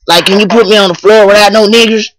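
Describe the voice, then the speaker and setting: A young man acts out a brash rural man on a comic prank call. The voice sits high, with a bright, forceful edge and a dry, close sound. He drawls and presses his points insistently. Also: fairly even intonation, quick pace, no room sound, low-quality recording. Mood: nosy, blunt. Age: young adult. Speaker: prank caller. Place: small room indoors, close to a webcam, holding a phone.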